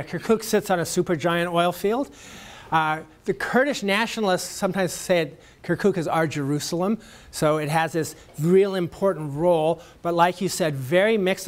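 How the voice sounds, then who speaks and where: A man giving a public talk answers audience questions in a conversational way, with many fillers and restarts. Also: high-pitched. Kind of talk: lecture Q&A.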